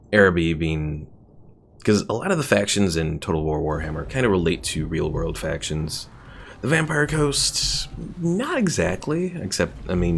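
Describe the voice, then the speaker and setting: Low gravelly man streaming a game. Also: a little hiss or hum. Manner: loose and rambling, full of "like" and "kinda". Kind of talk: casual live-stream commentary reading chat. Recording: game music playing under the voice.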